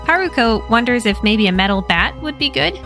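American woman story host, bright, animated, wry. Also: a little high, brisk, soft background music. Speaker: podcast host and narrator. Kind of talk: storytelling, retelling a manga.

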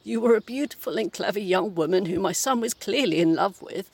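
A woman acts as a formidable old mother, dead but still bossy. Her voice is gravelly and husky, with a dry, fussy, genteel manner made for audio drama. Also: medium pitch, brisk, no echo. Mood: dry, teasing. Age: 70s. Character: domineering, sardonic old mother's ghost, 78. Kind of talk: audio play acting.

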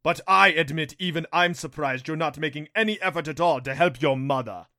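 A man's voice: on the high side, bright, forceful tone, no room sound, clean studio sound, pitched above the performer's own voice. Man voicing a gloating, theatrical fanged beast. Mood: mock regret. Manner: taunting, mock-sorrowful, drawn-out delivery. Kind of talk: audiobook character voice for a fantasy story.

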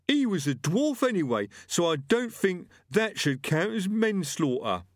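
A man voicing the dim, aggrieved ghost of a murderer in an audiobook, with a halting, self-pitying whine. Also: high-pitched, sing-song, no room sound, clean studio sound, pitched above the performer's own voice.

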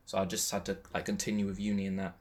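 Young man, fairly low pitch, informal slangy delivery, easygoing podcast conversation. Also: little pitch movement, very fast. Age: 20s. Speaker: podcast co-host. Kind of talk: casual chat.

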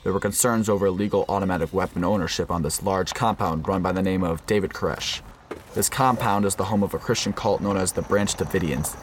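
Undercover federal agent played by a man, radio drama. Low voice, solemn and measured, faint noise behind. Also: lightly acted. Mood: grave, reflective. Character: undercover male FBI agent narrating a compound infiltration, uneasy. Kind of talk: scripted radio play narration.

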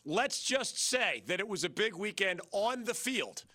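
An American man hosting a sports radio show, brisk and enthusiastic, rattling off scores and names with a slightly gravelly, scratchy voice. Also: sits high, big pitch swings, sharp tone, no echo, studio quality. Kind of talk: sports talk radio monologue. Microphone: studio microphone.

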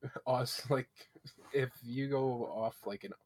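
A man guest on a podcast, chatting casually with a husky morning voice, giggly and self-deprecating. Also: medium pitch, a little slow, darkish tone, slight background noise.

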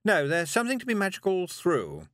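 A man's voice: high-pitched, wildly swooping pitch, a little fast, a bit bright, no room sound, clean studio sound, voice pushed up, heavily acted. A man voicing a fussy, nervous woman witch in an audiobook, talking in a prim, clipped way. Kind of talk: audiobook character voice.

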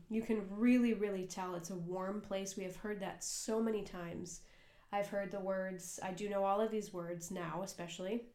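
An American woman in her young adult years chats earnestly on a podcast, meandering through her points with lots of "um"s and self-corrections. Her voice sits in an ordinary middle pitch and carries a roomy echo from the space she records in. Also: darkish tone. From United States. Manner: earnest, rambling with many fillers and restarts. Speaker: podcast co-host and cafe worker. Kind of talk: casual conversational podcast. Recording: echoey room.

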